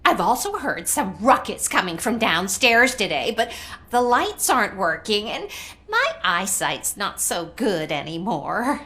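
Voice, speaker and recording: A woman's voice: mid-range voice, sing-song, unhurried, bright, forceful tone, full character voice. An actress playing an anxious, pleading elderly woman in a video game, with a dramatic way of speaking.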